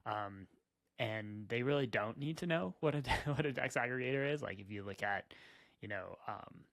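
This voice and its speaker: A man co-hosting a podcast, wry and quick-witted, giving his opinions in casual chat. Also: medium pitch, creaky, fairly bright tone, no echo. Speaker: podcast co-host. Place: Utah.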